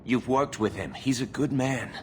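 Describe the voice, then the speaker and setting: A man's voice: medium pitch, moderate pace, a little mellow, a little hiss or hum, natural voice. A man playing an anxious, apologetic conspirator in a video game, speaking in an earnest, pleading way. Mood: urgent persuasion. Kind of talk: dramatic video game acting.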